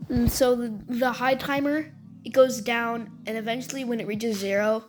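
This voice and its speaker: A woman's voice: fairly high pitch, steady tone, moderate pace, slight background noise. A woman giving casual gameplay commentary and walkthroughs as a video creator, using informal gamer slang. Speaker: video creator and game level maker. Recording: music under the voice. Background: music.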